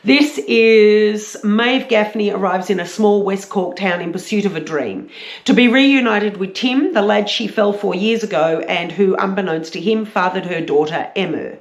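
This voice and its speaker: Aussie woman book vlogger, croaky gravelly voice, bit hesitant, roomy echo. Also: mid-range voice, moderate pace. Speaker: book reviewer, vlog host. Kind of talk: casual book chat with read-aloud blurbs. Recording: roomy, echoey sound.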